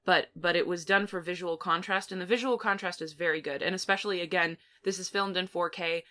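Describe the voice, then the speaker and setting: A woman's voice: fairly low pitch, fairly even intonation, a little fast, pushed and bright. A woman co-hosting a film review podcast, talking casually and informally with some mild swearing. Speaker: podcast co-host reviewing films.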